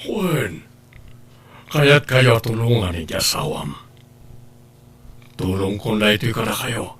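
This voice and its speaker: An earnest suitor in a radio drama. His voice is notably husky and a little low, with a persuasive, confiding manner. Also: lively intonation, slow pace, a bit bright, exaggerated voice. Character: earnest male lover and confidant Eddie. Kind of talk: Ilocano radio drama acting.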